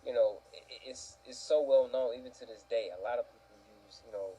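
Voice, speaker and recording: Mellow dark voiced guy on a movie panel, mid pitch, earnest, kinda stumbles and repeats words. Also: fast, breathy edge, low-quality recording. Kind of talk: casual movie discussion.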